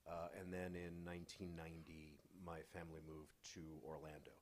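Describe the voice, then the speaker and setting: Male panelist, American, low-pitched, halting and self-deprecating, speaking off the cuff. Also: little pitch movement, a little hiss or hum. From New York area, United States. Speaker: panelist, finance professional. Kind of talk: unscripted personal introduction on a panel.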